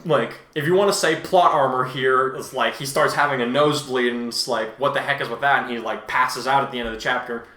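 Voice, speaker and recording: Bright-voiced man chatting in an echoey room. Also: mid-range voice, brisk, few pauses. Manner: rambling, self-correcting. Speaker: host, fan fiction author and reader. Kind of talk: casual discussion of own story.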